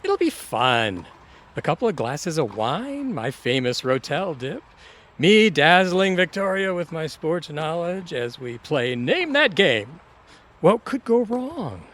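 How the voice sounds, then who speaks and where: Breezy wisecracking pal in an audio comedy, overconfident, highish voice, bouncy pitch. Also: male voice, sing-song, slow pace, fairly bright tone, full character voice. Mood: breezy, persuading. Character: breezy male buddy, scheming, overconfident would-be ladies' man. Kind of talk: comedic audio drama acting.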